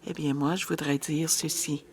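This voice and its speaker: A woman's voice: low-pitched, fairly even intonation, moderate pace, darkish tone, breathy edge. A woman interpreting a panel discussion live, hesitant, with frequent filler sounds and restarts.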